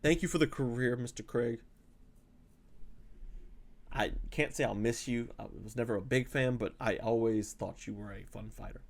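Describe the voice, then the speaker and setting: A man's voice: medium pitch, moderate pace, creaky, pauses often. A man from South Louisiana hosting a casual fight breakdown, chatty and rambling, with lots of fillers and self-corrections, speaking close to a desk mic. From South Louisiana, USA. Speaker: fight analyst host.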